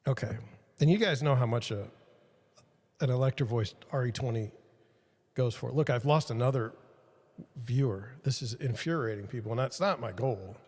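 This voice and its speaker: A man's voice: mid-range voice, moderate pace, pauses often, a little mellow, slightly rough. Self-deprecating man in an echoey room. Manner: self-deprecating, rambling, talks to viewers. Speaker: podcast host. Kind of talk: casual self-talk while fixing gear on a livestream. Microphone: studio mic with changing levels.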